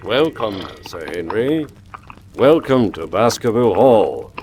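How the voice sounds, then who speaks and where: Subdued butler, quietly formal. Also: male voice, on the low side, big pitch swings, takes it slowly, slight background noise. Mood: formal welcome. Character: sombre, deferential male butler of an old manor. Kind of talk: radio drama dialogue.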